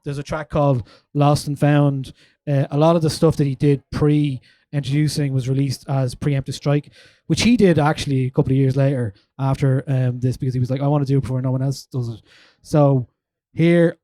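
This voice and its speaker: Chatty man, mellow voice. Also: medium pitch, steady tone, brisk, dry recording. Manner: chatty, lots of "like" fillers, reads quotes aloud. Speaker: host. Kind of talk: casual chat at a live listening event.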